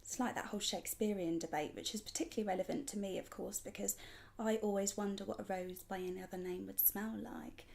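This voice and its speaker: A woman acting a dramatic monologue as a frank, sweary, emotionally raw young woman, breathy and often dropping to a near whisper. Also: medium pitch, steady tone, fast talker, a little mellow. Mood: musing.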